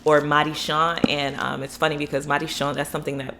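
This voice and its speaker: A young woman vlogger with a low voice rambles happily through her book picks, full of "um" and "you know." A faint hiss sits under her casual talk. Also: little pitch movement, brisk. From Florida, USA. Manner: chatty, rambling, enthusiastic, many fillers. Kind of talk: casual book review vlog.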